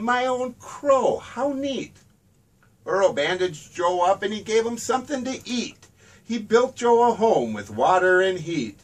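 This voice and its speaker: A man's voice: high-pitched, distant mic. A man reading his own rhyming children's book aloud in a sing-song storybook manner.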